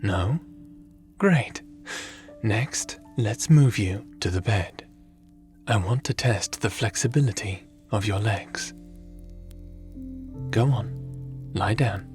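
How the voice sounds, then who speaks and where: A man playing a seasoned, caring and wry adventurer in an ASMR audio roleplay, speaking softly in a measured, gently theatrical way. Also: fairly low pitch. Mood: gentle, attentive. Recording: music under the voice.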